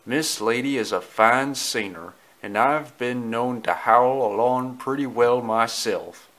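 A man's voice: medium pitch, takes it slowly, fairly bright tone. Guy doing a friendly folksy old-fashioned dog for a storybook, polite and proud. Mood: genial.

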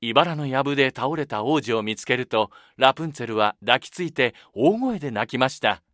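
A man's voice: medium pitch, animated, normal speed, fairly bright tone, no room sound, clean studio sound. A man narrating a children's fairy tale from a script, as the storybook narrator.

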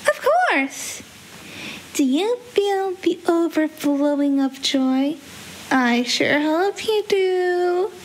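A woman's voice: extremely high pitch, sing-song, extremely slow, heavily acted, poor audio. A young woman voicing a manically cheerful, deranged female cartoon villain creature with a gleeful, sinister, coaxing delivery. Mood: unhinged sweetness. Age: young adult. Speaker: amateur voice actor. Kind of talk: theatrical character voice lines. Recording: faint hiss, home recording.

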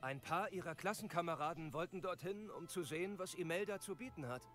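An actor playing an eager, earnest broom shopkeeper and inventor in a video game, polite and keen to sell. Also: male voice, sits high, a little fast, fairly bright tone. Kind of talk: acted video game dialogue. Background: background music.

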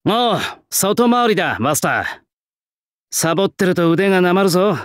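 An actor playing a proud young male swordsmith spirit in an anime-style game, talking in a rough, blunt, old-fashioned working-class way. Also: sits high, lively intonation, moderate pace, no echo, studio quality. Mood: confident, casual. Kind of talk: anime-style mobile game character lines.

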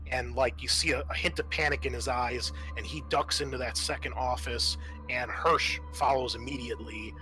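A man with a bright, mid-pitched voice acts as game master for a tabletop roleplaying game. He explains scenes and rules in a halting, improvised flow. Faint music plays behind him. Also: steady tone.

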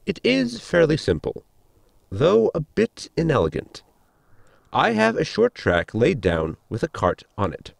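A polite, scientifically minded crewman from a sci-fi comedy audio drama, played by a man. His formal, explanatory delivery swoops widely in pitch, with a creaky edge, in a dry close recording. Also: wildly swooping pitch, unhurried, no room sound, full character voice. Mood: dry, matter-of-fact.

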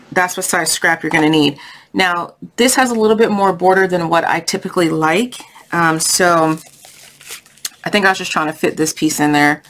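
A woman's voice: fairly low pitch, fairly bright tone, a little hiss or hum. A woman hosting a casual craft tutorial, chatty and thinking aloud, with a voice congested from a sinus infection. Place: indoors at a craft table.

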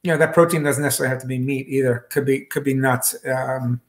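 A man's voice: medium pitch, fairly even intonation. A middle-aged man hosting a wine club talk over a video call from his kitchen, speaking casually with many fillers and restarts. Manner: halting, many fillers and restarts, gestures with hands. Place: home kitchen. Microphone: video call webcam.

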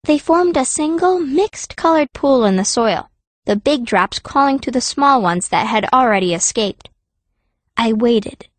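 A woman reads a fantasy audiobook in a high voice. Her delivery is expressive and dramatic, telling the story in the first person. The sound is dry and close. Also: unhurried, no room sound. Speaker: audiobook narrator, playing the young heroine.

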